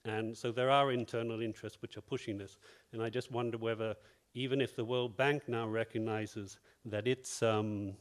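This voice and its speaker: A male researcher making a measured, reflective comment from the floor in a panel discussion. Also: medium pitch, fairly even intonation. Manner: measured, reflective, wondering aloud. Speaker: researcher at a conference.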